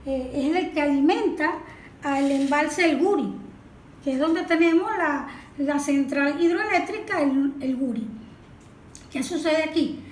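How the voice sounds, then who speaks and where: A woman with a Venezuelan accent giving a didactic technical explanation as a specialist, often posing rhetorical questions. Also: sits high. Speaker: watershed management specialist, guest analyst. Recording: echoey room, background noise.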